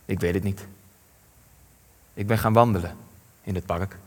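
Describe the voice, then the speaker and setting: An actor playing a weary, grave old resistance veteran in a radio play, speaking in solemn, measured phrases with long reverb. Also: male voice, deep voice, steady tone, darkish tone. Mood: subdued, hesitant. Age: older. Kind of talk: Dutch radio play acting.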